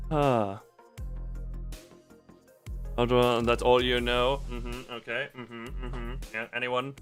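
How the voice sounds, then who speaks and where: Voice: medium pitch, fairly bright tone. A game stream host chatting casually and rambling while reacting to chat. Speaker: livestream host.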